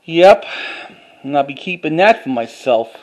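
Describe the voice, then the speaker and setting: A man with a halting, word-repeating way of talking, doing a casual show-and-tell like an enthusiastic collector. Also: a little high, expressive, a little mellow, lo-fi sound. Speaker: vlogger.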